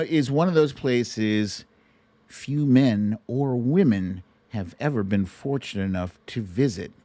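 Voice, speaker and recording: A man's voice: mid-range voice, a little mellow, dry recording. A man hosting a casual livestream, chatting and reacting to clips in a playful, theatrical way. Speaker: host of a live online show.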